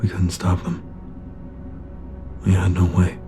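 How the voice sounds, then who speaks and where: Brooding soldier, played by a man, low voice, terse, war-weary sergeant for sci-fi audio drama. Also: monotone, takes it slowly, over music. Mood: bitter, accusing. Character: bitter, battle-worn soldier, sergeant of shock troops.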